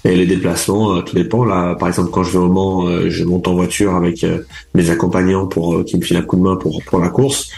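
A young man with a very low voice answers interview questions about racing in an easygoing, halting way. He stumbles through fillers and restarts his sentences often. The sound is roomy and echoey. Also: little pitch movement, creaky, a little mellow. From France, raised in Charente from age four. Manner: hesitant, many fillers and restarts. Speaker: endurance motorcycle racer being interviewed.

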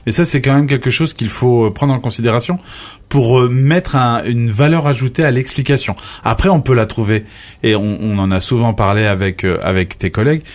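A man's voice: mid-range voice, fairly quick. A man of Portuguese origin leading a casual conversation as interviewer, hesitant, restarting his sentences while he searches for words.

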